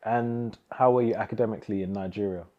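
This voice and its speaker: A man hosting a casual interview, pressing his guest with quick follow-up questions. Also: lowish voice, fairly even intonation. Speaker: interview host. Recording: slightly muffled audio.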